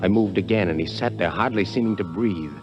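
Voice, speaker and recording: An actor playing a greedy, hard-bitten American adventurer in a 1950s radio drama, speaking tersely. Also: male voice, deep voice, fairly quick, close to an everyday voice. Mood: tense, obsessed. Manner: terse, tough. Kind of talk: 1950s radio drama acting, first-person narration. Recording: muffled vintage 1950s radio recording with music.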